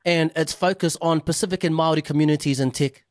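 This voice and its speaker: Male New radio host, friendly, relaxed, dry studio sound. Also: fairly high pitch, fast talker, no room sound. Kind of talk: radio interview.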